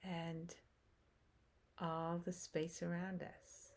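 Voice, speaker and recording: A woman guiding a meditation as a teacher, speaking in short phrases with long pauses and hushed endings. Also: on the low side, level tone. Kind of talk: guided meditation, slow spoken instruction.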